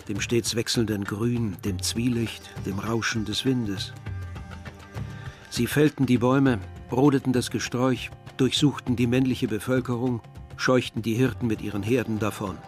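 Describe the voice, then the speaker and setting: A man's voice: medium pitch, close to an everyday voice. An actor playing a reflective village man recounting wartime memories in literary radio drama narration. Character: reflective male villager recalling war and his brother. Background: music.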